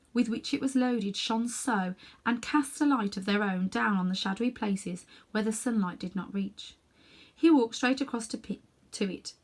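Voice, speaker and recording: A woman's voice: a little high, darkish tone. Woman reading a children's story expressively. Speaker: storybook reader. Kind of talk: expressive scripted narration for children.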